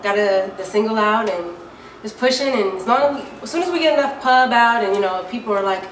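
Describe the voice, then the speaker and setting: A woman singer giving a casual interview, talking in a deep, low speaking voice with many easygoing fillers. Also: a little fast. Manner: relaxed and chatty, many fillers like "um" and "you know". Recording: echoey room, mic far off, clear background noise.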